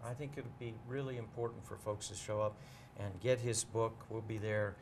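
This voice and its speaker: A man in a casual interview, talking as a bookseller guest in a measured, explanatory way and pausing to choose his words. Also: on the low side, steady tone, creaky, darkish tone, a little hiss or hum.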